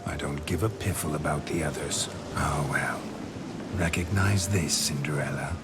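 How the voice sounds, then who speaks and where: A man's voice: very deep voice, moderate pace. A man playing a brash, boastful, lecherous nobleman in a video game, with smug teasing delivery. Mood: smug, flirtatious. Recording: loud background music.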